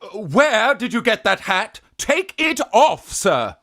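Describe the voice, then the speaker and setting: A man's voice: high-pitched, very animated, slow pace, sharp tone, no room sound, studio quality, heavily acted. An actor playing a young man posing as a genteel aunt in a Victorian farce, flustered, coy and haughty. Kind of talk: Victorian farce radio acting.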